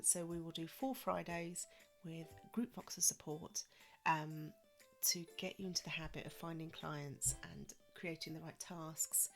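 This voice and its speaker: A woman's voice: lowish voice, bright, forceful tone. A woman hosting a solo podcast, chatty with frequent ums, a little wheezy from a cold. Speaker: podcast host.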